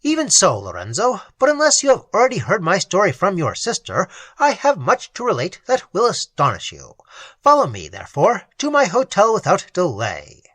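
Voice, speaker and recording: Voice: medium pitch, huge pitch swings, normal speed, fairly bright tone, husky, no echo, pitched above the performer's own voice, full character voice. A reader voicing a passionate young nobleman who speaks with urgent command in a classic audiobook.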